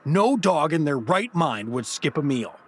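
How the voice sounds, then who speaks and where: A man's voice: fairly high pitch, sing-song, measured pace, slightly rough, heavily acted. A man playing a hapless, nervous, wry everyman hero in a comedic fantasy audio drama, with an incredulous conversational manner. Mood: nervous pleading.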